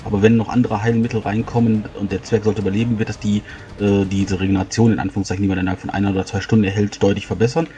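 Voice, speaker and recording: A man's voice: lowish voice, flat delivery, rapid-fire, warm tone. A man explaining tabletop game rules in detail and in a casual way, as a player. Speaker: tabletop role-playing player. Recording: faint background noise.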